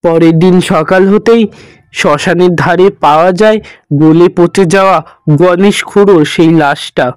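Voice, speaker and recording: A man narrating a horror cartoon in a grave, even storytelling manner. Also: sits high, unhurried, clear, resonant tone, no echo. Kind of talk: scripted narration.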